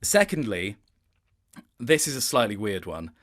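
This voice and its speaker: Halting, thoughtful man in an interview. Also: lowish voice, big pitch swings, fairly bright tone. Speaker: interview guest, voice actor. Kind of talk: casual interview chat.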